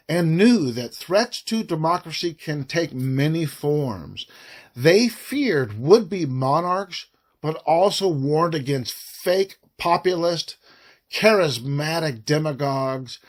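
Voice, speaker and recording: A man reads a formal report aloud, phrasing it deliberately and emphatically with frequent pauses. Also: a little high. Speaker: narrator reading a report aloud.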